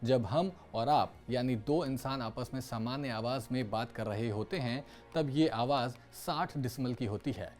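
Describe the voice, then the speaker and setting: Man reading news, dark-toned, over music. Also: mid-range voice, a little slow, hardly any pauses. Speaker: news presenter. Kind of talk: scripted news narration. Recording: music bed behind voice. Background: background music.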